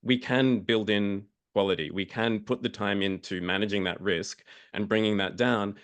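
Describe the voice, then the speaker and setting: A man with a deep voice speaks as a panelist in an informal discussion. He explains hesitantly, often repeating words as he goes. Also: steady tone, normal speed, a bit bright, clean tone.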